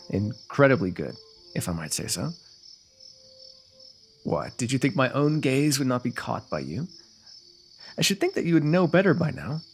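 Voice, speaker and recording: A man's voice: mid-range voice, big pitch swings, moderate pace, creaky, long pauses, faint music behind. A man playing a teasing, lovestruck royal ruler with courtly, formal phrasing in an ASMR fantasy roleplay. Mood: admiring, flirtatious.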